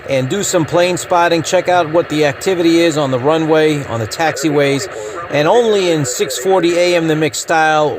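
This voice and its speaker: A man with a somewhat high voice presents a casual vlog as its host. He talks informally over clearly audible vehicle noise and ambience. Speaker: video channel host.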